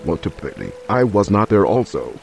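Peter, a man playing a hearty, gravelly disciple. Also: deep voice, wildly swooping pitch, normal speed, full character voice. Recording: music score underneath.

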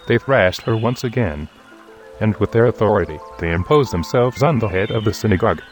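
A man's voice: on the low side, lightly acted. Andrew, a man playing an earnest disciple. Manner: earnest, measured recounting. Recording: music score underneath.

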